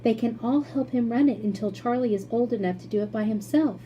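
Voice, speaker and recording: A woman voicing a brisk, cheerfully confident eccentric male factory owner in a storybook, decisive and emphatic. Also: a little high, warm tone, dull audio, a little hiss or hum, natural voice. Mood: earnest.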